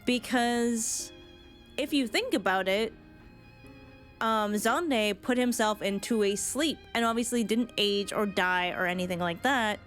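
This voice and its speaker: A woman's voice: on the high side, unhurried, a bit bright. A woman game streamer giving casual reaction commentary on cutscenes, thinking aloud as she goes. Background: game music.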